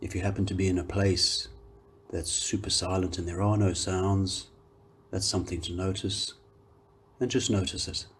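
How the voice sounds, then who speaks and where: Deep-voiced man guiding a calm meditation. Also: a little hiss or hum. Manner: calm, unhurried, gentle phrasing with long pauses. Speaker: meditation guide, yoga teacher. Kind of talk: guided meditation, slow soothing instruction.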